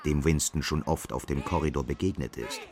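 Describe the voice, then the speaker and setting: An actor narrating a dystopian radio drama as a sober storyteller in a measured manner. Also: male voice, extremely low pitch. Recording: music behind the narration.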